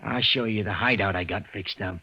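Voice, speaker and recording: An actor in an old-time radio drama playing a slow-witted, eager, servile night watchman who stammers and repeats his words. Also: fairly low pitch, close to an everyday voice. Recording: dull lo-fi old radio recording.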